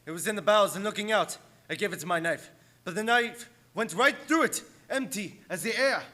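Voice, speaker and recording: Bright, high-voiced, terror-crazed ship's mate. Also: male voice, animated, normal speed. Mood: obsessed, frightened. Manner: agitated, broken-English sailor talk. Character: superstitious ship's mate going mad with terror. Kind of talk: melodramatic radio play acting.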